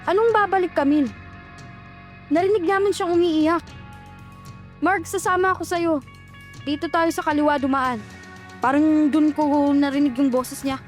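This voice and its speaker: Woman doing a nervous young girl, high voice, urgent and questioning. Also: moderate pace, long pauses, soft background music, close to an everyday voice. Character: frightened young woman traveler, anxious and protective. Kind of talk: audio horror drama.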